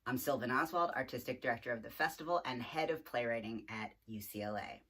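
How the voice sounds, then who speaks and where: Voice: medium pitch, fast talker, pushed and bright, husky. An artistic director and host giving a prepared welcome speech to camera for an online theater festival.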